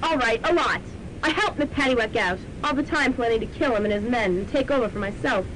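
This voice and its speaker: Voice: animated, a little fast, lightly acted. An actor playing a bossy, scheming female police sergeant villain in a student radio drama, with a commanding, gloating delivery. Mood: gloating confession. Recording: noisy amateur radio drama tape, rumbling.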